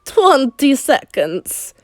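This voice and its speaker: A woman voicing a scheming witch leader who talks with syrupy sweetness one moment and shrill commands the next. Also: very animated, drawn-out words, a little mellow, no echo, soft background music, exaggerated voice. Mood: commanding. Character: menacing female witch leader, scheming and theatrical.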